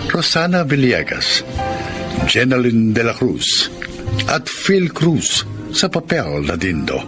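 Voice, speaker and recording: Casting: male announcer, formal radio drama narration, unhurried, loud music underneath. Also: medium pitch, huge pitch swings. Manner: solemn, deliberate, formal.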